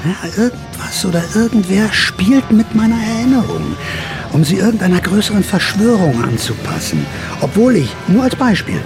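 A man's voice: sits high, big pitch swings, moderate pace, over music. An actor playing a sly older counterculture veteran who narrates his memories in a radio drama, confiding, wry and theatrical. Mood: conspiratorial, theatrical.

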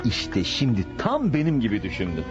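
A man's voice: mid-range voice, wildly swooping pitch, normal speed. An actor playing a scheming, greedy middle-aged father in radio theatre, flustered, hesitant and repeating himself. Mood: calculating. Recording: dull lo-fi radio recording, music.

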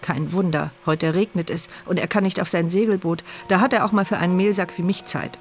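A woman's voice: on the low side, steady tone, brisk, faint music behind, lightly acted. An actress playing a paralysed, mute woman who narrates her sardonic, bitter thoughts in a radio play. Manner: sardonic, biting inner voice. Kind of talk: radio play inner monologue.

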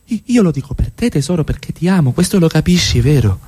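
A man's voice: a little high, big pitch swings, fast, mellow, breathy edge. A man in a radio play acting a proud, jealous middle-class husband, formal and sarcastic in his speech. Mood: angry, biting. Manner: formal, pompous wording with biting sarcasm.

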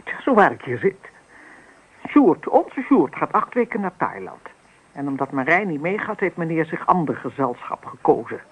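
A woman's voice: low-pitched, very animated, normal speed, breathy edge, exaggerated voice, poor audio. An actress playing an indignant, fretting middle-aged mother of a grown son in a radio play, gossipy and nagging. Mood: scandalized. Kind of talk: naturalistic radio play acting.

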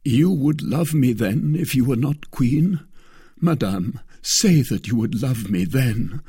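A man's voice: mid-range voice, expressive, takes it slowly, warm tone, husky, no echo, clean studio sound, exaggerated voice. A man doing an impassioned lovestruck duke. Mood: ardent. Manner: impassioned, declamatory. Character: ardent lovestruck nobleman, passionate and pleading. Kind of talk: dramatic audiobook reading.

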